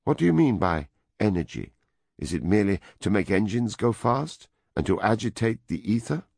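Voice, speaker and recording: A man voicing an impassioned European woman who speaks softly and earnestly. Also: lowish voice, sing-song, normal speed, gravelly, no echo, studio quality, voice pushed up, exaggerated voice. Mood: questioning. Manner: soft, earnest, pleading.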